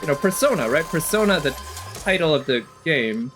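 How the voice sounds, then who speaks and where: A man's voice: fairly high pitch, sing-song, normal speed, fairly bright tone, faint music behind. A man giving casual, off-the-cuff commentary as a streamer, analytical and hesitant as he thinks aloud. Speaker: reaction streamer.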